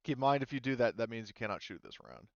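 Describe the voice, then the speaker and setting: A man with a clear voice running a tabletop roleplaying game, talking casually and swearing now and then. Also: mid-range voice, very animated, quick pace, no room sound, lo-fi sound. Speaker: game master.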